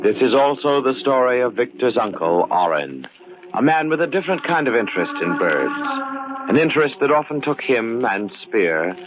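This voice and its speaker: An actor playing the solemn, mysterious narrator of a radio horror anthology, speaking portentously with deliberate, heavy pauses. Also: male voice, mid-range voice, very animated, very noisy, close to an everyday voice. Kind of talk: dramatic 1940s-style radio horror narration. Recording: muffled old radio broadcast. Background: music.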